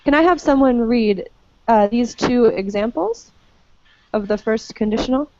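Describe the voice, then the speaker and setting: A woman's voice: a little high, lively intonation, a little slow, darkish tone, muffled recording. Patient woman teaching English online. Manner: patient, many fillers. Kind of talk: live online class, friendly explaining. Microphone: computer mic on a video call.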